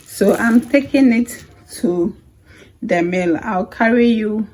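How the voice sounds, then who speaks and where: A Ghanaian woman talking casually to her vlog viewers, her voice hoarse from the dry harmattan air. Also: mid-range voice, slow, a little mellow, slight background noise. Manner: chatty, mixes in local sayings. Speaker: vlogger.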